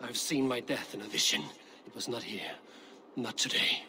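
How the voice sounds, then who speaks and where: An actor playing a proud, skeptical Viking warrior in a video game, with a dry, wry delivery and old-fashioned phrasing. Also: male voice, medium pitch, moderate pace, noisy background, natural voice. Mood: resolute. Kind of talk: video game cutscene acting.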